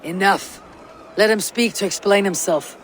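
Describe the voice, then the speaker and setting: A woman playing a stern, level-headed Viking warrior in a video game, speaking in a grave, measured, commanding way. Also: medium pitch, a little hiss or hum, natural voice. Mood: firm, commanding. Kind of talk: dramatic video game acting.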